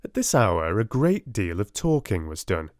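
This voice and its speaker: A man with a British accent giving a soft-spoken storybook narration as an audiobook reader. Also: on the low side, big pitch swings, measured pace, no echo, clean studio sound.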